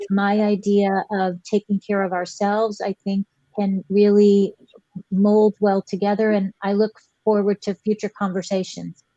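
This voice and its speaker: A woman's voice: medium pitch, mellow, dry recording. A woman in her sixties, a veteran teacher, chatting earnestly and graciously as a guest on a video call talk show. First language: English. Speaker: guest educator. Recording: slightly dull dry video call audio.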